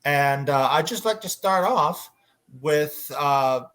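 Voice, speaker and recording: Halting male panel moderator. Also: a little high, animated, fairly bright tone. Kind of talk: casual panel discussion.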